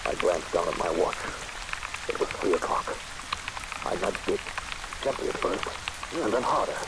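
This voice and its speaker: A man's voice: deep voice, expressive, moderate pace. An actor playing a frightened traveler in an old horror radio drama, telling his nightmarish tale with dramatic intensity. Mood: hushed suspense. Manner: dramatic, hushed storytelling rising to panic. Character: frightened male traveler recounting a nightmarish killing. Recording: old radio recording under heavy rain noise.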